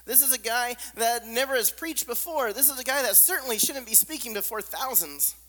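Squeaky voiced guy preaching, repeats lines for punch, goes hushed, long hall echo. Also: expressive, fairly quick. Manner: emphatic repetition, drops to soft near-whispers. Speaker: pastor. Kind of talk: sermon. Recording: long reverb of a large hall.

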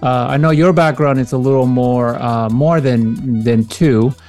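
A man's voice: mid-range voice, fairly even intonation, measured pace, darkish tone, soft background music. A man from Peru hosting a podcast interview, talking casually with many fillers and restarts over a video call. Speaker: podcast host.

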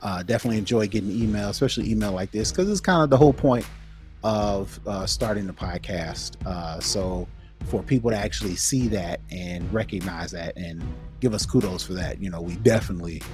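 A man's voice: lowish voice, a little fast, soft background music. A man co-hosting a podcast in casual chat, explaining things informally and circling back to rephrase. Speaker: podcast co-host.

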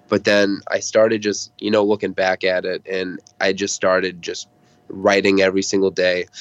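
A young man from Massachusetts with a very low-pitched voice, speaking as an interview guest. He tells his story in a candid, down-to-earth way. The sound is dry and a little dull. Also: dry recording. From Massachusetts, United States. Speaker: podcast guest, rapper. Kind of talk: casual interview, personal storytelling.

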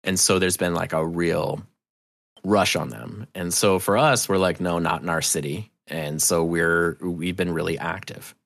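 Deep, gravelly man talking haltingly. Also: steady tone, fairly bright tone, no echo, clean studio sound. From New England, United States. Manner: halting, thoughtful, self-correcting. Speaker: podcast guest. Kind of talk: casual interview conversation.

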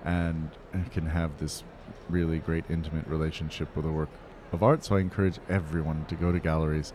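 Low-voiced, earnest male curator. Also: flat delivery, brisk, noisy background. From Pennsylvania, USA. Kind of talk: interview answers.

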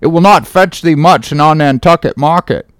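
A man's voice: on the high side, normal speed, clean tone, dry recording, studio quality, lightly acted. A man voicing a sober, principled ship's first mate, earnest, formal and reproving, in a dramatic novel reading. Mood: measured doubt.

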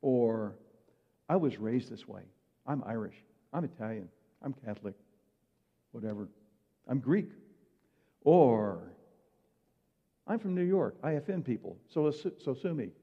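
A man's voice: medium pitch, expressive, measured pace, pauses often, breathy edge. A man preaching a sermon in a conversational way, asking his listeners rhetorical questions. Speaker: pastor. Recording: muffled hall reverb.

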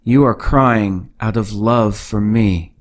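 A man voicing a gentle, loving God. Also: on the low side. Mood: tender. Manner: calm, slow, soothing assurance. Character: gentle, loving male God, patient and tender. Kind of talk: devotional audio drama.

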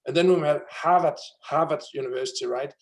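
A man in his thirties with a Danish accent, a company founder telling his story in an interview, energetic and full of fillers and restarts. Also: a little high, fairly quick. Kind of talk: conversational interview.

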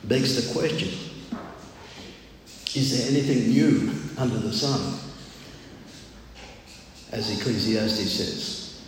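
Casting: older man, loud-voiced preacher, very slow deliberate delivery, heavily reverberant hall recording. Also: mid-range voice. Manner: measured, deliberate, with frequent pauses. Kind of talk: sermon. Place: large echoey room, speaking to a congregation. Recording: very echoey hall, clear background noise.

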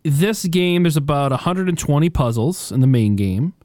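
A man's voice: a little high, animated, moderate pace, darkish tone, no room sound, clean studio sound. A man co-hosting a podcast in casual chat, easygoing and self-deprecating, sometimes reading from his notes. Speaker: podcast co-host.

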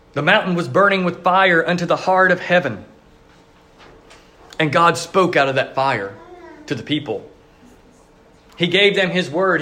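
High-voiced man preaching a sermon. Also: pushed and bright. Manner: earnest storytelling, voicing the crowd in quick asides. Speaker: pastor.

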